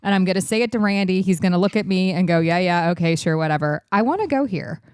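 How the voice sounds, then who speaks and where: A woman's voice: lowish voice, fast, smooth, no echo, clean studio sound. A woman co-hosting a travel podcast in casual, playful chat, teasing her co-host. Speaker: podcast co-host, magazine editor-in-chief.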